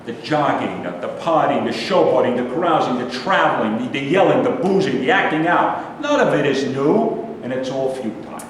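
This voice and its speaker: A man's voice: expressive, normal speed, hardly any pauses, natural voice. A man playing a bitter, griping, foul-mouthed older army veteran in a staged play reading. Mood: bitter resignation. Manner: aggrieved, profane ranting. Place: indoor live staged reading. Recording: echoey hall, distant mic, rumbling noise.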